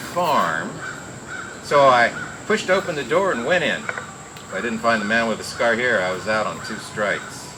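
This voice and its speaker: A man playing a world-weary, wisecracking private detective in radio noir style, reading a little haltingly. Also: mid-range voice, noisy background, natural voice. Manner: halting read with stumbles and wry asides. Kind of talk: 1940s-style radio noir acting and narration.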